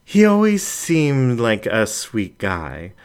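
A man voicing a teasing adult female cat in an audiobook, with a slow, affectionate manner. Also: mid-range voice, wildly swooping pitch, smooth. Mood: fond, teasing.

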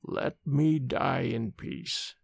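A bitter, dying old nobleman, as a male narrator puts him on, speaks in a hollow, weak voice. The words come out slowly and grudgingly, with a rough, gravelly texture. The sound is close and dry. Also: sing-song, no room sound, exaggerated voice. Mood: weary. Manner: slow, low and grudging.

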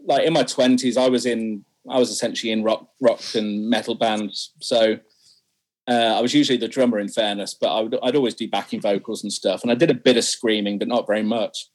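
A man's voice: lowish voice, steady tone, a little fast, poor audio. A young man, a singer, talking candidly about his music in an interview, self-deprecating and laughing often. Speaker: singer guest. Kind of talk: interview conversation.

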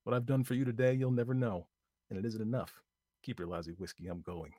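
Man voicing a young woman, bossy, scornful, loud and snapping, high, husky. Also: expressive, quick pace, dry recording, studio quality, close to an everyday voice. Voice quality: loud, snapping, vulgar when angry.

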